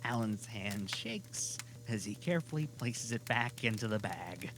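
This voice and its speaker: A man narrating a scripted audio drama as a storyteller, deliberate and given to dramatic pauses. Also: on the low side, big pitch swings, noisy background.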